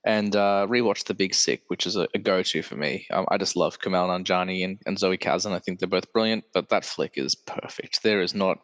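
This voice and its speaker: A man's voice: low voice, a bit bright, big-room sound. A male podcast host with a congested, nasal voice, chatting casually and correcting himself as he rambles on. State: congested from illness. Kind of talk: casual solo podcast chat.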